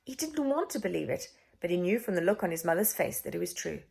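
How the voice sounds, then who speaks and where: A woman reading a children's book aloud as an expressive story narrator. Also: fairly low pitch, quick pace, creaky, husky.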